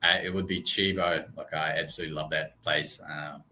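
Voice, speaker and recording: A Russian-born man in his thirties speaks in a very low voice, relaxed and friendly, with plenty of ums. He answers interview questions over a video call. Faint noise hums behind him. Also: fairly quick. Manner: relaxed, friendly, many ums, laughs easily. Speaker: real estate branch manager, guest.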